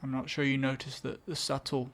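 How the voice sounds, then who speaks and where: A man's voice: mid-range voice, little pitch movement, a little fast, fairly bright tone. A young English man hosting a casual solo music show, rambling and correcting himself with wry asides. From England. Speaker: podcast host. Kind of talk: casual solo chat introducing songs.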